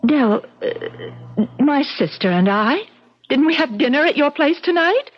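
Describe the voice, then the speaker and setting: A fearful, insistent woman in an old radio mystery, doubting her own mind. Her voice is high and swings dramatically. The vintage recording is dull. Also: sing-song, unhurried. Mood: anxious. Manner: emphatic, emotional, dramatic.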